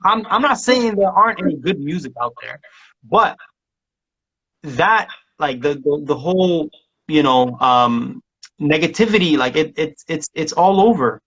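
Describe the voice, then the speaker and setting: A man's voice: on the high side, expressive, measured pace, fairly bright tone, breathy edge, muffled recording. A man from Somalia, a music producer chatting casually as a guest and rambling with many restarts and laughs. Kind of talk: casual interview chat.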